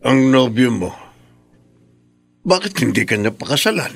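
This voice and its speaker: An actor playing a stern, suspicious older man in a Filipino radio drama, gravelly and husky, with a Filipino accent. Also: medium pitch, sing-song, measured pace, faint music behind, exaggerated voice.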